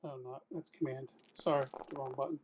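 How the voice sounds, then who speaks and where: A man with a medium-pitched voice takes part in a tabletop game. He talks casually as one of the players. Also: steady tone, a little fast, darkish tone.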